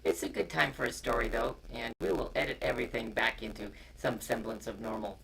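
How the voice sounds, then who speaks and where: Male storyteller and co-host, heavy creak and lively pitch, informal delivery over noisy live-stream sound. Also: brisk. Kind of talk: informal chat and picture-book reading aloud.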